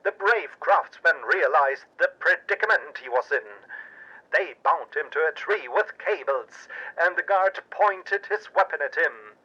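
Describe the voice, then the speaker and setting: A man narrating a pulp audio drama in a theatrical, suspenseful and deliberate way. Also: fairly high pitch, animated, sharp tone, slightly rough, highs cut off. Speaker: narrator and storyteller.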